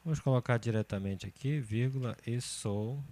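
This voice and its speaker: A man's voice: medium pitch, normal speed. A man in his thirties, a computer course teacher, talking through a spreadsheet tutorial step by step and often checking in with the learner. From Brazil. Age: 30s. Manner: steady step-by-step explaining, often checking with "certo?".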